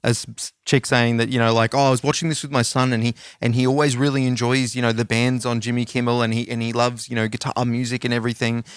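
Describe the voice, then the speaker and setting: A man's voice: medium pitch, fast, few pauses, clear, resonant tone, no room sound, clean studio sound. A man co-hosting a podcast, chatting casually and rambling with lots of fillers. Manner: rambling, filler-heavy, relaxed. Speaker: podcast co-host.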